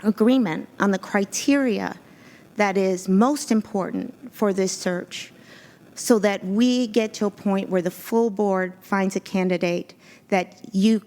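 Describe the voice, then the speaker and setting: A woman whose first language is Spanish, giving a measured, earnest presentation to a school board as an executive search consultant. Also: medium pitch, darkish tone. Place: board meeting room.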